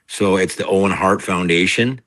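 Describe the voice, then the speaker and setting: Middle-aged singer-songwriter, very low and deep, rambling and chatty, dry close sound. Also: male voice, moderate pace, a bit bright, no room sound. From Canada. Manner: rambling, full of fillers and restarts. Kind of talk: casual interview storytelling.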